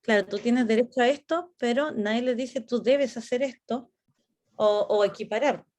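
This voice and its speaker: A woman teacher with a Chilean accent, leading a class discussion in a measured, reflective way. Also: fairly high pitch, darkish tone. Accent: Chilean Spanish. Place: online class.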